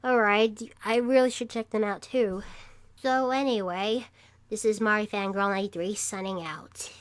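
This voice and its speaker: A woman's voice: medium pitch, steady tone, moderate pace, no echo. A woman in her twenties chatting casually as a vlog host, rambling and hesitant as she talks. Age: 20s. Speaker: vlogger and podcast host. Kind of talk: casual shoutout vlog chat.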